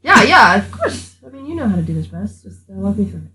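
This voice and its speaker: A tabletop game player talks in a casual, improvised way. The voice sits at a middle pitch, with faint noise behind it. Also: sing-song, quick pace, pushed and bright. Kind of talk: casual improvised roleplay chat.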